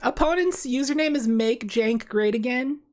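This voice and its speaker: Young woman chatting thru a card game stream, kinda high voice, lots of likes and ums, small room. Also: moderate pace. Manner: rambling, many fillers and restarts. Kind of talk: casual gameplay commentary. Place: small dim room indoors.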